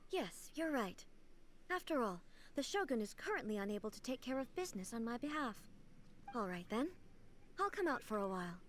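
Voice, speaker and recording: Casting: solemn game deity, higher-pitched female voice, dignified and deliberate, stately formal diction. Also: sing-song. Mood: composed. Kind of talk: video game character acting. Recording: game audio with faint background noise.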